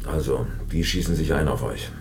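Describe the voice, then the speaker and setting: An actor playing a glib, nervous, evasive boss of a French political group in a German radio drama, with stammering, comradely patter. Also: male voice, very deep voice, brisk, gravelly, music in the background. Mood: scheming.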